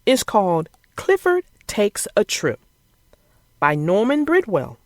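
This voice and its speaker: A woman narrating a children's picture book as an expressive, theatrical read-aloud. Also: medium pitch, takes it slowly, no room sound, clean studio sound.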